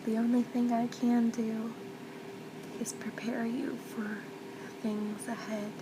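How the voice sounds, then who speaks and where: A woman playing a loving mother in an ASMR role play, speaking softly and tenderly, often near a whisper, to comfort and reassure her child. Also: on the high side, level tone, slow. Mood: reassuring, earnest. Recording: loud constant background noise, ambience.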